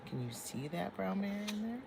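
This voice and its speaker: A woman's voice: medium pitch, animated, moderate pace, gravelly, darkish tone, slight background noise. A woman teacher reading a children's picture book aloud, expressively, drawing out phrases and speaking directly to young listeners.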